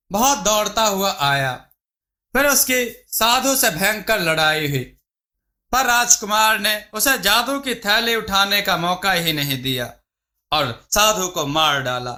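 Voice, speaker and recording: ऊँची आवाज़ वाला पुरुष कहानीवाचक. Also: male voice, high-pitched, bright, forceful tone. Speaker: storyteller. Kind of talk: scripted Hindi moral story narration.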